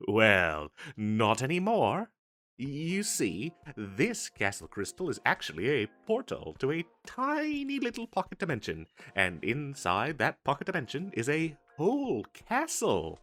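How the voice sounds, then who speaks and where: A man playing a wizard street vendor, very animated swooping pitch, boastful and eager, theatrical comedy roleplay, music behind. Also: a little slow. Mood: pitching, enthusiastic. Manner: salesman-like, flustered stammering, dramatic emphasis. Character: eager, boastful male wizard salesman hawking magical wares.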